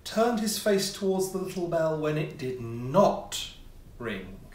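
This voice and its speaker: A man reading a classic ghost story as scripted narration, with measured, theatrical phrasing and pauses. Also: a little high, sing-song. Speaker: audiobook reader, amateur player. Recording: roomy echo, distant mic, faint noise.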